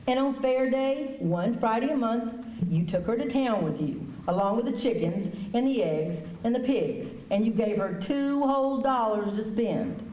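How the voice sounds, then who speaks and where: A woman playing Miss Kitty, mellow but firm. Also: fairly high pitch, expressive, lightly acted. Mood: reproachful. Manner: pointed, reproachful, measured storytelling. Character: firm, protective frontier woman confronting wrongdoer. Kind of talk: radio drama acting. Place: school auditorium stage. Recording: echoey auditorium, distant mic.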